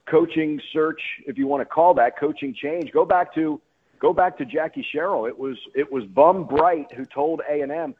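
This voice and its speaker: A man's voice: on the high side, husky, phone-quality sound. A male sports announcer speaking as a guest on a radio talk show, emphatic and often repeating and rephrasing himself.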